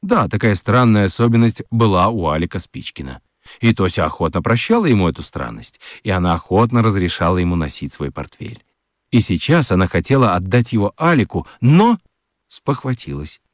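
A man narrating a children's radio story in an expressive, scripted storytelling style. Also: fairly low pitch, a little fast, no echo, studio quality.

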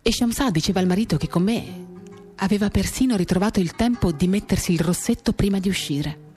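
A woman giving a measured, expressive dramatic reading of a first-person novel, pausing as she tells it. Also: mid-range voice. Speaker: reader of a literary work. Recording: acoustic guitar music behind the voice. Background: acoustic guitar accompaniment.

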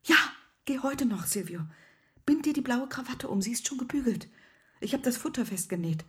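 A woman acting a caring, practical mother in a radio play. She instructs gently in a rather high, mellow voice. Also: animated, normal speed, natural voice. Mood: caring, urging. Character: caring, practical mother sending her boy off. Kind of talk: German radio drama acting.

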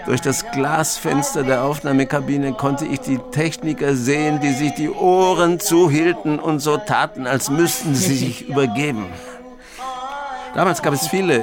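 A man's voice: medium pitch, close to an everyday voice. An actor playing a reclusive, awkward old grandfather in a German radio drama, hesitant and reflective with a wry edge. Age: 60s or older. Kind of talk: German radio drama acting, dialogue and inner monologue.

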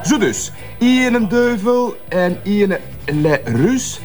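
Young student waiter, high lively voice, nervous, dim, eager, comic radio drama. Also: male voice, slow, faint music behind. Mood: flustered, polite. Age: young adult. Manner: eager, uncertain.

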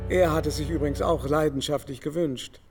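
An actor in a German radio play playing a vain, loquacious, condescending ageing baron who lectures in a mannered, pompous way. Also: male voice, on the high side, fairly even intonation, brisk, creaky, music in the background. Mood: gushing.

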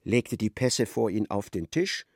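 An actor narrating a darkly comic literary radio play as an observant storyteller. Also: male voice, lowish voice, animated, a little slow, slightly rough, no room sound, clean studio sound, full character voice. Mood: wry narration.

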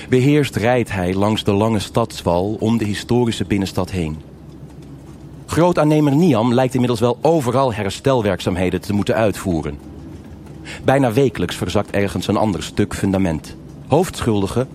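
A man narrating a live noir radio drama in a measured, formal storytelling style. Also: on the low side, slight background noise. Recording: live stage radio play over loud music.

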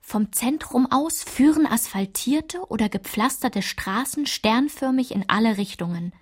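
Female narrator of a French small-town childhood, fairly high voice, measured listing delivery, literary radio drama. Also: darkish tone, husky.

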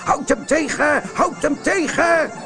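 A man's voice: extremely high pitch, lively intonation, slow pace, pushed and bright, soft background music, voice pushed up, exaggerated voice. A man voicing a lonely, grumbling storybook woodcarver who talks expressively to himself and his creation. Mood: alarmed shouting. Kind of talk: storybook character voice.

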